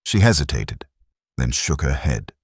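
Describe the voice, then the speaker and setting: A man narrating a literary detective story in the first person, in a measured, reflective and solemn manner. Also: very deep voice, sing-song, slightly rough, no room sound, studio quality.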